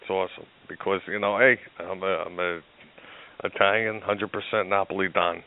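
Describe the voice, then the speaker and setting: Brief: deep-voiced guy with a New Jersey accent, chatty storyteller, heard over a tinny phone line. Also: normal speed. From Newark, New Jersey. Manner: animated storyteller, many restarts and laughs. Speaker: guest. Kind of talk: casual chat.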